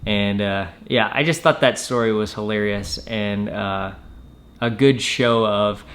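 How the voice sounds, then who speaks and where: A man telling a personal story in a casual, matter-of-fact way with hesitant pauses. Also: fairly low pitch, a bit bright. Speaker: storyteller, former Marine recruit.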